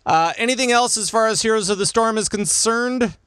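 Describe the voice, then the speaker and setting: A man hosting a casual gaming podcast, chatting in an animated, jokey way and often interrupting himself. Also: high-pitched, brisk, clear, resonant tone, no echo, clean studio sound. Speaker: podcast host.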